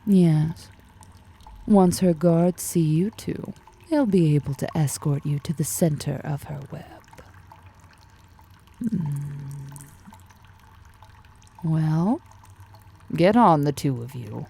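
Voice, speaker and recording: A woman playing a powerful, flirtatious female drider in an ASMR fantasy roleplay, speaking in a breathy, commanding, teasing murmur. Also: animated, takes it slowly, long pauses, dark tone, full character voice. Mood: teasing, confident. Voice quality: low, breathy, husky murmur. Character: powerful, imperious, flirtatious female drider, half-spider monster.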